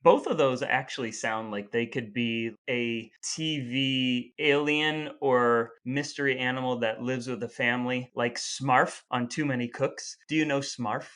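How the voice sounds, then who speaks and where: Man, podcast co-host, playful banter, average pitch, casual chat. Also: fairly even intonation, unhurried, few pauses, fairly bright tone. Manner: playful, wordplay-loving.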